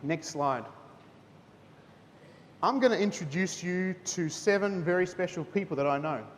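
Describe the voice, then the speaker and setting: A man, a teacher speaking at a school chapel in a chatty manner, often asking "okay?". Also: unhurried. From New Zealand. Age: 30s. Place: school hall. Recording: hall reverb, faint rumble.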